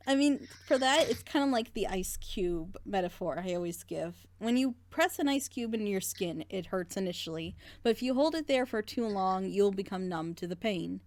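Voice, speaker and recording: A woman's voice: mid-range voice, lively intonation, moderate pace, a little mellow, no echo. A woman in a casual group reaction chat, talking earnestly and passionately in long, rambling stretches. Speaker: reaction group member leading the discussion.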